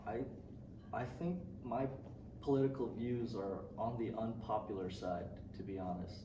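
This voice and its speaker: A man's voice: fairly low pitch, measured pace. Young man, from Indonesia, hesitant, lots of ums, far from the mic, echoey noisy room. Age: young adult. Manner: hesitant, many ums and restarts, laughs lightly. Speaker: student interviewee. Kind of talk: casual interview answers. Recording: roomy, distant mic, noisy.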